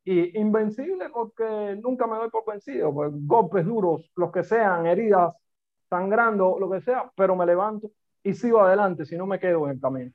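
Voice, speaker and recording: A Cuban-accented man talks animatedly over what sounds like a tinny phone line, his pitch sitting somewhat high. He builds his points from short repeated phrases in a relaxed interview. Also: moderate pace. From Cuba. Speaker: podcast guest, entrepreneur. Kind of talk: conversational interview.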